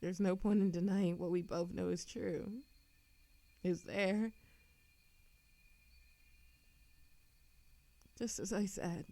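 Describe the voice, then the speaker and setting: Slow-spoken, lovesick immortal woman, voiced by an actress. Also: mid-range voice, warm tone, no echo. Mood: tender, smitten. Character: lovesick, world-weary immortal woman confessing love to Death. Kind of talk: scripted romantic audio roleplay acting.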